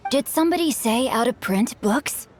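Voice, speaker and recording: A woman's voice: fairly high pitch, expressive, moderate pace, a little hiss or hum, close to an everyday voice. A woman voicing a courteous, bookish teenage boy in a video game, speaking formally and articulately. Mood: courteous, eager. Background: background game music.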